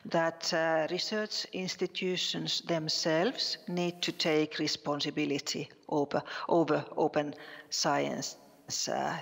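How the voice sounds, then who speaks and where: A woman from Finland, giving a conference presentation in a halting way with many fillers and restarts. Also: lowish voice, creaky, big-room sound. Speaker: conference speaker.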